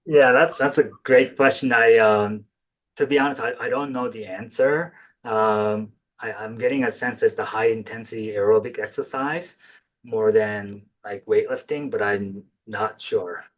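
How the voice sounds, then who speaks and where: A man with a fairly low voice answers questions after his talk over a video call. He speaks tentatively, with fillers and restarts, as he works through each answer. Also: unhurried, rough recording. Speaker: presenter, physician. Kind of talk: webinar Q&A answers.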